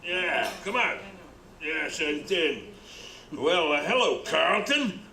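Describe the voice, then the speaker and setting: A man's voice: fairly high pitch, very animated, takes it slowly, pushed and bright, full character voice. A man playing a jovial, blustery police boss with hammy, slangy delivery in an old-time radio crime play. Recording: roomy sound with music behind.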